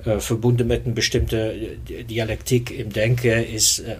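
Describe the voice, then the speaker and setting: A man in his fifties with a Dutch accent, a podcast guest talking in a reflective way and often pausing to find his words. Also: medium pitch, flat delivery, breathy edge. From Netherlands. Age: 50s. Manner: reflective, searches for words with many fillers. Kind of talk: casual interview talk.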